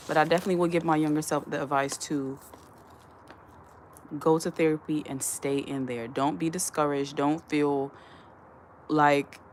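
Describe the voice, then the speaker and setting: A woman with a low voice hosts a casual livestream, reading viewer comments aloud and responding to them one by one. Her tone turns earnest when she gives advice. A light hiss of background noise runs under the recording. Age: adult. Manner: earnest, advising tone. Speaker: livestream host. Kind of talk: casual live-stream chat.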